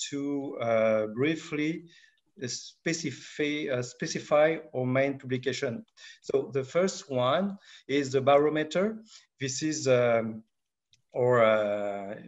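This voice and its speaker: A man giving a webinar presentation on market statistics. He speaks haltingly and fills nearly every pause with "uh". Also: medium pitch, dull audio. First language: French. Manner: halting, with constant filler pauses. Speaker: market analysis director presenting.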